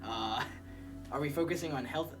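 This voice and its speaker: A man's voice: mid-range voice, moderate pace. A man talking casually as he organizes a group gaming discussion and explains the options. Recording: distant mic, music behind.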